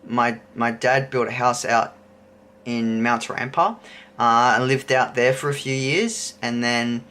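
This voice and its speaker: Australian male vlogger, thirties, unhurried and rambling, with a bright, forceful tone. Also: medium pitch, flat delivery. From Queensland, Australia. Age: 30s. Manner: rambling, thinking aloud. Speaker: YouTuber host. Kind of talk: casual chat to camera.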